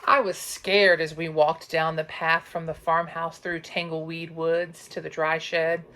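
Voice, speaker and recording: Woman storyteller, bright forceful tone, unhurried pace, dramatic emphasis, children's read-aloud. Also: sits low, level tone. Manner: dramatic, drawn-out emphasis on sound words and counting. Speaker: teacher reading aloud. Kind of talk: expressive children's storybook narration.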